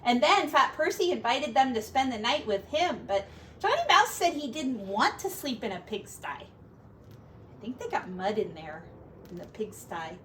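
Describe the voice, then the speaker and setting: Expressive high-voiced woman reading to kids. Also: normal speed. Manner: expressive, simple gentle asides to children. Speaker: teacher reading to young children. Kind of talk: picture-book read-aloud with chatty asides. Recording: distant mic with faint background noise.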